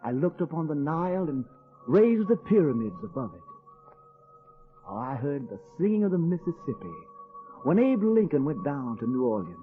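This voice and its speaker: A thin, phone-like old radio recording with faint music behind a dreamy young poet. His voice is high and swings expressively, earnest and heartfelt. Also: sing-song, normal speed. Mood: reverent, soulful. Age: young adult. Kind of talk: radio drama acting and poetic recitation.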